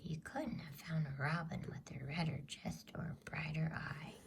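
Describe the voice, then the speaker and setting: A woman reading a bedtime story in a soft, breathy ASMR whisper, gentle, soothing and unhurried. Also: deep voice, steady tone, background noise.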